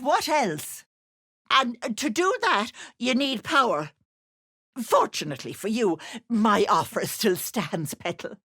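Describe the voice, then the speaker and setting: A woman's voice: mid-range voice, no room sound, studio quality, exaggerated voice. A woman playing a sly old hag who poses as a sweet auntie in a video game, cooing pet names that slide into menace. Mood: scornful. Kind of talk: theatrical video game character acting.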